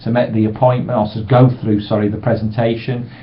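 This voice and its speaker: Relaxed man on a webcam. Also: mid-range voice, fairly quick, slight background noise. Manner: relaxed, reassuring, acts out sample voicemails brightly. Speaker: sales trainer. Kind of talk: casual webcam training talk. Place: small room indoors. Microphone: webcam microphone. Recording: dull lo-fi webcam audio.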